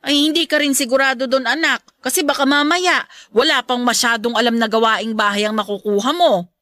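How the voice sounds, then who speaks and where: A woman's voice: fairly high pitch, fairly even intonation, brisk, sharp tone, dry recording, studio quality. A woman playing an aging, protective and stubborn but loving Filipino mother in a radio drama, chatty and firm in a motherly way. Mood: firm, wary.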